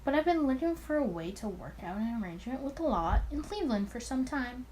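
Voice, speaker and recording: A woman's voice: high voice, big pitch swings, brisk, a little mellow, a little hiss or hum. A woman voicing an arrogant, charming male mafia boss from the 1920s in a visual novel. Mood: smug, explaining.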